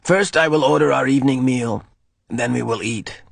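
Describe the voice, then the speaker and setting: A man voicing a calm, firm old mentor who speaks measured and quietly rueful in an audiobook. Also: medium pitch, creaky, dry recording. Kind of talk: audiobook character voice.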